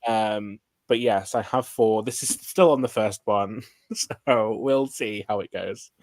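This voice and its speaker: A man's voice: on the low side, steady tone, slow, no room sound. A man chatting casually about books as a livestream guest, meandering and hesitant, often saying "like". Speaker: guest co-streamer.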